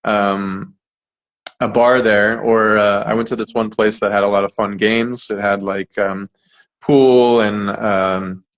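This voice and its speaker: Man, American accent, young adult, lowish pitch, relaxed, chatty, filler-heavy. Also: slow. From California, United States. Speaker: English teacher, interview guest. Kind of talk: casual conversational interview.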